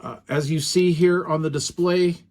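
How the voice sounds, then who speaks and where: A middle-aged man reviewing a small two-way radio in a relaxed, rambling way, with lots of fillers. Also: a little high, normal speed. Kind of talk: casual product review.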